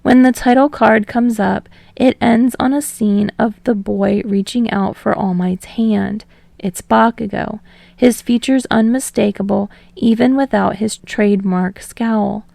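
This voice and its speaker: A woman narrator reading fiction aloud in an expressive, storytelling style. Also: medium pitch, measured pace, creaky, dry recording. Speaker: podfic narrator.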